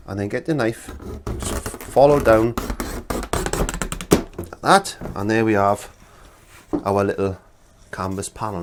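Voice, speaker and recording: A man's voice: on the low side, background noise. A middle-aged man teaching an art lesson in his small studio, sounding congested from a cold and talking in a chatty, rambling way with many restarts. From Wales. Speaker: art instructor. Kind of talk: casual demonstration lesson.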